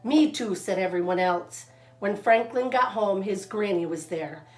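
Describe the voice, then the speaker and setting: A woman narrating a children's storybook like a teacher, expressive and deliberate, stretching out words. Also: medium pitch. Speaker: kindergarten teacher reading stories to children. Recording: echoey room, faint music behind.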